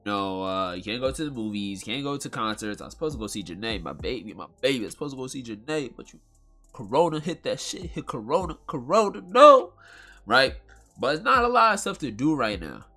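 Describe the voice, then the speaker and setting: A man with a bright, nasal voice hosts his own podcast at a home mic. He talks in a loose, rambling, slang-filled way, and faint music sits low behind him. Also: sing-song, fairly quick. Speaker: podcast host. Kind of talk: casual solo podcast talk.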